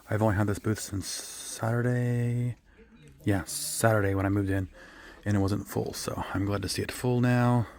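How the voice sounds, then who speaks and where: Hoarse, low-voiced man chatting casually. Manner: rambling, lots of ums and self-corrections. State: hoarse, raspy voice. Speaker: reseller vlogger. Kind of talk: casual vlog chat. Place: his resale booth and home workspace.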